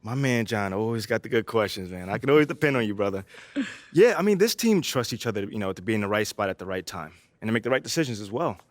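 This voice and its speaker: A man playing a confident young pro basketball player in a video game, relaxed and slangy. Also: fairly low pitch, quick pace, no room sound, close to an everyday voice. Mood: casual, self-assured. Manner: relaxed, slangy, self-assured. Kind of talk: casual game cutscene and press interview dialogue.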